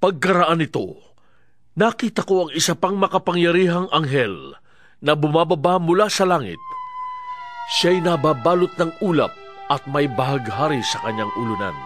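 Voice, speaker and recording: An awed prophet telling his vision, in a fairly high, lively voice over music. The narration is earnest and declamatory, like a dramatised scripture reading. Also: normal speed, dry recording. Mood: awed, solemn. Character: awestruck male prophet recounting his vision.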